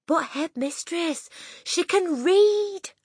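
A woman voicing a gentle, timid yet determined young teacher in an audiobook, pleading politely. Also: very high-pitched, expressive, slow pace, dry recording, studio quality, voice pushed up, heavily acted. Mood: earnest, urgent. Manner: pleading, soft, polite protest. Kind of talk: audiobook character acting.

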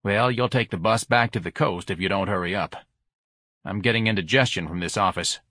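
A man's voice: fairly low pitch, fairly quick, pushed and bright, no echo. A man voicing an irritable, sarcastic businessman husband in an audiobook, dry and dismissive. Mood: irritated.

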